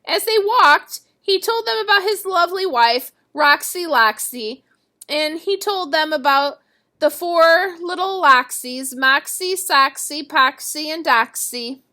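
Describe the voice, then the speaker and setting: A woman reading a children's story aloud as an expressive storyteller. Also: high-pitched, slow pace.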